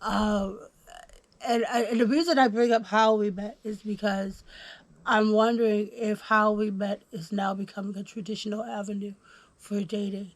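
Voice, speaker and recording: A woman's voice: medium pitch, normal speed, no echo. Dry close-miked woman host. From Houston, Texas. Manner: relaxed, filler-heavy, reads from notes. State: under the weather. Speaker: podcast host. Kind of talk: casual conversation.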